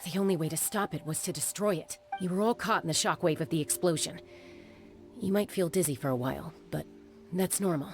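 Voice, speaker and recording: A woman's voice: fairly low pitch, a little fast, faint music behind, natural voice. An actress playing a decisive, cool-headed female warrior in a video game, firm and matter-of-fact.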